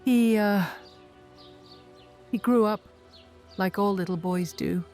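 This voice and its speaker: Woman acting as motherly vampire, soothing, deliberate, persuasive, enigmatic, mid-pitched, fantasy audio roleplay, music underneath. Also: a little mellow, close to an everyday voice. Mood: gently probing. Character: enigmatic, coaxing female vampire, motherly mentor to an orphan.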